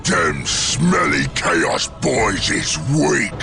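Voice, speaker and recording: A belligerent ork warlord from a video game, roaring in a rough, gravel-filled voice. The speech is crude and blustering, heaved out slowly with huge swings of pitch. Music plays behind. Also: male voice, big pitch swings, full character voice. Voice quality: gravelly, shouted. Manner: crude grammar, bellowing, blustering. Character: brutish, belligerent ork warboss spoiling for a fight. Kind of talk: video game cutscene acting.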